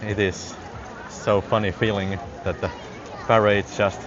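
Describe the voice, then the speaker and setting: A man's voice: fairly low pitch, little pitch movement, a little slow, highs cut off, a crowd in the background, noisy background. A man with a Finnish accent chatting casually to his viewers on a live stream while walking outdoors through a city parade, chuckling often. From Finland. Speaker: livestream walking vlogger. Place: outdoors on a busy city street during a parade. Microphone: handheld phone.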